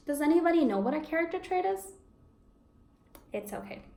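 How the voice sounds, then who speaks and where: A woman teaching young children in a read-aloud lesson, encouraging and expressive, asking questions and confirming answers. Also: sits high. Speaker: elementary school teacher. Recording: echoey room with background noise.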